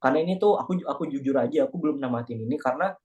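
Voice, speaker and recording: A man's voice: medium pitch, very fast, darkish tone. A young man with an Indonesian accent chatting in a casual online class discussion, joking and self-deprecating. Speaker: class participant. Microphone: video call.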